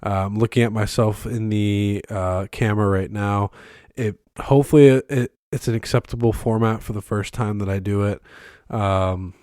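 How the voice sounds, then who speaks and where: Hoarse, congested young man hosting a podcast. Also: lowish voice, steady tone, moderate pace, darkish tone, dry recording, studio quality. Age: young adult. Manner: rambling, many ums and restarts. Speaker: podcast host. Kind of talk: casual solo podcast monologue. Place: bedroom used as a studio. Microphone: close microphone, filmed on camera.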